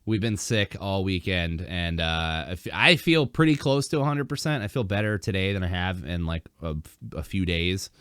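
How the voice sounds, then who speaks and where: A man hosting a casual podcast, rambling with fillers and restarts, his throat dry and a bit hoarse. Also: deep voice, animated, moderate pace, hardly any pauses, fairly bright tone, dry recording. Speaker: podcast host.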